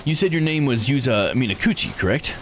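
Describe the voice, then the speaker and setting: A man's voice: mid-range voice, animated, fairly quick, a little hiss or hum, voice pushed up, natural voice. A man voicing a sly, courteous, inquisitive researcher in a visual novel, with a mannered, teasing delivery. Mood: polite, probing. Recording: music behind the voice.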